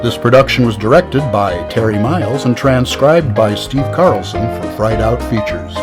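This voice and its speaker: A man's voice: medium pitch, lively intonation, moderate pace, over loud music. A man announcing an old-time radio show with mock-formal sponsor patter and scripted credits.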